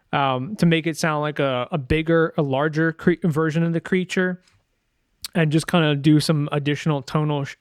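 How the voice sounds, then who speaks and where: A man with a slightly high, very clean and smooth voice walks through software in a casual tutorial. He thinks aloud and corrects himself informally, recorded close and dry with little room sound. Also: no echo. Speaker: sound designer presenting a tutorial. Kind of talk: casual screen-share software walkthrough.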